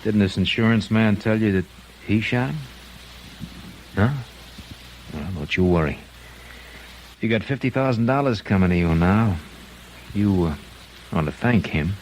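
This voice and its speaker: An American actor playing a smug, menacing gangster boss in a 1950s radio crime drama, casually taunting in slang. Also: male voice, on the low side, lively intonation, measured pace, long pauses. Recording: noisy vintage radio recording.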